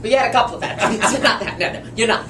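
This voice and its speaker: A woman's voice: a little high, very fast. A woman in her fifties co-hosting a casual chat, teasing her partner and laughing often. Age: 50s. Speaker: show co-host. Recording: roomy distant mic with background noise.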